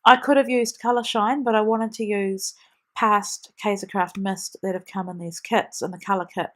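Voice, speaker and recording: A woman's voice: mid-range voice, steady tone, normal speed. A woman with a stuffy nose from a cold, chatting through a scrapbooking tutorial with frequent ums. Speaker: scrapbooking demonstrator. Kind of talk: voice-over craft tutorial.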